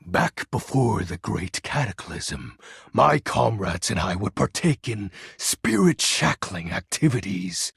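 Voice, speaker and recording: A brooding video game shadow lord with a very husky, gravel-laden voice. His grandiose speech swings dramatically in pitch, full of theatrical menace and melodrama. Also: fairly bright tone, dry recording, clean studio sound, heavily acted. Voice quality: raspy, gravelly. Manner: grandiose, archaic phrasing with sudden casual asides.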